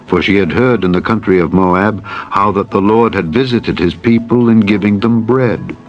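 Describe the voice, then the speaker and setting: A man narrating scripture in a dramatized audiobook style, solemn and measured. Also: on the low side. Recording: dull lo-fi sound with music. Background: background music.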